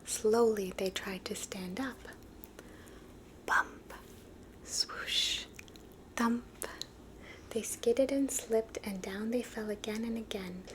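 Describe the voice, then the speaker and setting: A woman reading children's stories aloud in a soft, unvoiced ASMR whisper. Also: mid-range voice, fairly even intonation, measured pace, few pauses, a little hiss or hum. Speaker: storybook reader.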